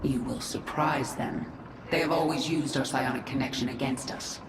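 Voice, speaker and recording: A woman's voice: rumbling low, steady tone, normal speed, background noise. Commanding, echoing alien swarm queen. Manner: authoritative, clipped orders. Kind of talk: video game cinematic acting. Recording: echoey, loud music behind.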